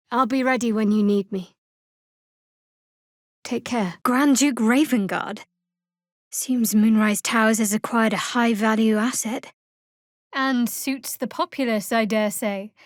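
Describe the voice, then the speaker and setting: An actress playing a guarded, wry young female cleric in a fantasy video game, speaking in a cool, dry, measured way. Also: on the high side, no echo. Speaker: video game companion character. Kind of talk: scripted fantasy game voice acting.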